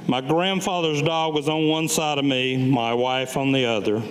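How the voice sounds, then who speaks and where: An older man, around seventy, reading his own written memoir aloud at a staged reading in a measured, deliberate way. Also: mid-range voice, fairly even intonation. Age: older, about 70. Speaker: Vietnam veteran reading his own writing. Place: public meeting room with audience, indoors. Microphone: gooseneck lectern microphone. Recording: echoey hall, background room noise.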